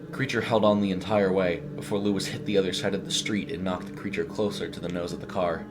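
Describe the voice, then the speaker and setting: A man's voice: sits low, fairly even intonation, fast, over music. A man reading a scripted horror short story as its narrator.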